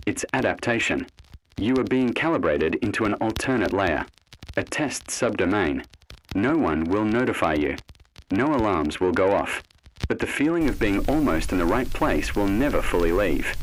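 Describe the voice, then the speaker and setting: A man reading a scripted analog horror narration in a measured, cryptic way, with short phrases and deliberate pauses. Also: fairly low pitch, slight background noise, poor audio. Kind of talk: scripted horror narration, ominous second-person address.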